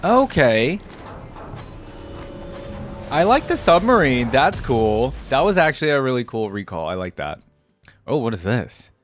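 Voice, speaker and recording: A man's voice: medium pitch, very animated, measured pace, pauses often. Man giving casual reactions over game music. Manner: thinks aloud, hedging opinions. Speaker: video creator reacting to game skins.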